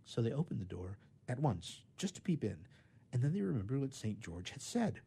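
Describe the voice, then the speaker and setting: A man reads a children's story with expressive, theatrical emphasis. His voice is soft-edged and somewhat husky, and his pitch moves widely from phrase to phrase. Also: moderate pace.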